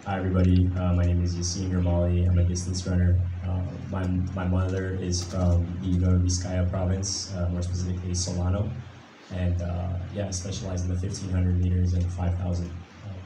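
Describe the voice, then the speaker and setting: American male runner, deep monotone, halting delivery, distant echoey mic. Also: noisy background. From United States. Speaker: athlete. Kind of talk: self-introduction. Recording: echoey arena from afar, clear ambience.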